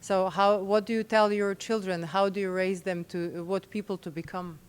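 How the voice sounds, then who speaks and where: A woman's voice: medium pitch, steady tone, normal speed, clear, resonant tone. A woman interviewing a guest, asking curious, probing questions.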